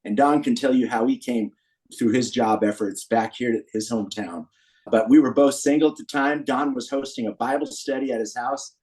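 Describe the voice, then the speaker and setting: An American man in his sixties speaks as a guest on a podcast. His voice sits at an average pitch, and he recounts personal history in an earnest, anecdotal manner. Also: steady tone, moderate pace, a little mellow, low-quality recording. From Berkeley, California, USA. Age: 60s. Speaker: podcast guest, founder of a men's community group. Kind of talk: personal storytelling in a podcast interview.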